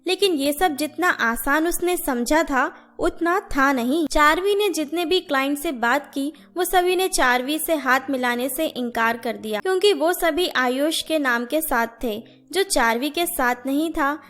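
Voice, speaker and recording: A woman's voice: high-pitched, unhurried, few pauses, fairly bright tone. A woman narrating a scripted moral story for children.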